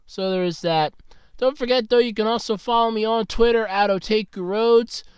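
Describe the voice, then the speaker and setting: A man's voice: high voice, normal speed, smooth, no echo. Sick male podcaster, close on the mic. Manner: frank, grumbling, swears casually. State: sick, losing his voice. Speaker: podcast host. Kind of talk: casual solo podcast talk. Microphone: very close mic, about two inches away.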